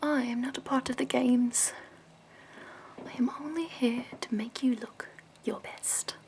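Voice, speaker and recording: A woman in her twenties voicing a prim, fussy, upbeat woman makeup escort from the capital, in a soft whispered ASMR roleplay. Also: on the high side, fairly even intonation, a little slow, a little hiss or hum. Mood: prim, soothing.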